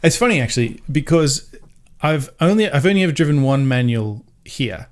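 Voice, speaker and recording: A man's voice: medium pitch, lively intonation, normal speed. A man from Sydney with a mild Australian accent, rambling and restarting sentences as a podcast co-host in casual chat. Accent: mild Australian, softened by years in America. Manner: rambling, restarts mid-sentence, laughs easily.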